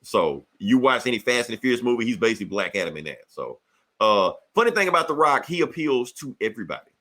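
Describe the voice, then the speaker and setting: Animated, profane man hosting a podcast. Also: medium pitch, fast. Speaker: podcast host. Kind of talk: casual pop-culture podcast chat.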